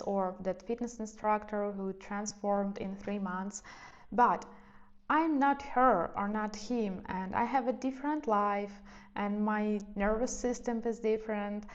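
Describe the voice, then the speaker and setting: Young woman, non-native English speaker, soft reflective advice, mid-pitched voice, slightly muffled lo-fi sound. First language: not English. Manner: soft, reflective, hedging with "let's say" and "I don't know". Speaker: lifestyle vlogger. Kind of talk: casual advice talk to camera.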